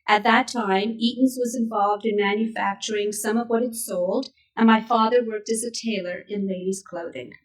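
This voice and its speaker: A woman in her sixties from Canada, whose first language was Yiddish, reading a prepared speech at a memorial event in a measured, steady way. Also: mid-range voice. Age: 60s. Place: synagogue event hall. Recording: voice picked up from a distance.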